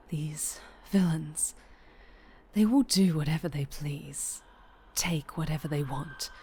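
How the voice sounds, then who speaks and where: A woman playing a possessive, obsessive winged dark-angel superhero, sinister yet doting, in an intimate, breathy ASMR roleplay that often slips toward whispering. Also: medium pitch, a little hiss or hum. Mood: tender, possessive.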